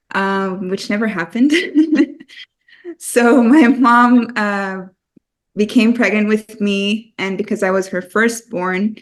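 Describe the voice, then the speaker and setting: A young adult woman raised in Switzerland, talking through her family story as a podcast guest, unhurried with frequent pauses and ums. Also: medium pitch. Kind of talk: conversational interview storytelling.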